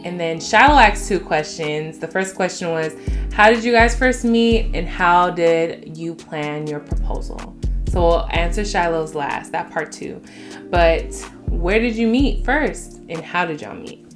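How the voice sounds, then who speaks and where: A woman's voice: fairly low pitch, a little slow, music in the background. A young woman co-hosting a casual couple Q&A, telling stories with laughter and the occasional curse. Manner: animated storyteller, laughs and curses freely. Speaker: co-host of couple channel.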